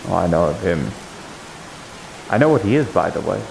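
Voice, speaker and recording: A man playing a cautious, reserved, learned character in an improvised fantasy roleplay, gravelly, with a measured, hesitant delivery. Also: low voice, big pitch swings, a little mellow, slight background noise, full character voice, poor audio. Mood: thoughtful, explaining.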